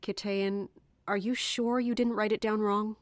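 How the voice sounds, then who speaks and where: Woman playing a stern captain, deliberate and measured. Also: medium pitch, flat delivery, dry recording, close to an everyday voice. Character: stern woman captain of guards, commanding, accusing. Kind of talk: fantasy audio drama acting.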